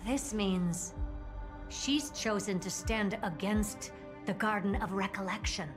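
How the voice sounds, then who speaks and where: A woman's voice: mid-range voice, steady tone, slow, over music, lightly acted. An actress voicing an observant, composed woman commenting on events in a video game. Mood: thoughtful.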